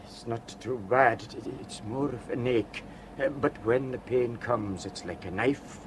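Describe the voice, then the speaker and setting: An actor playing an oil rig worker doubled over with stomach pain, gasping and stammering. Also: male voice, mid-range voice, unhurried, background noise, natural voice. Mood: weak, aching. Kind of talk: 1970s radio drama acting.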